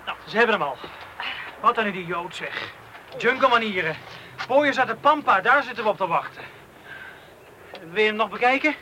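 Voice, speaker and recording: A wisecracking, cynical police detective in a radio drama. His voice sits a bit high for a man and his talk is slangy and sardonic. Light noise runs behind him. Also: expressive, normal speed, pauses often. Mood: agitated, scornful. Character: cynical, teasing Dutch male police detective. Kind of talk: naturalistic radio play acting.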